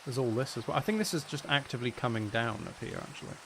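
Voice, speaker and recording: A man streams a game and talks off the cuff in a rambling, self-deprecating way. His voice sits at a middling pitch, with a noticeable wash of rain and running water behind it. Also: animated, fast talker, vocal fry, noisy background. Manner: mildly sweary. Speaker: game streamer and audio creator. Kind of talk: casual live gaming stream chat.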